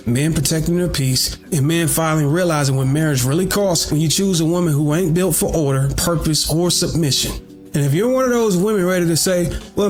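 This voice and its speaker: Man, fairly high voice, scripted commentary, emphatic repetition, faint music behind. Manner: emphatic, repeats key lines for effect. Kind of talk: scripted monologue commentary on dating.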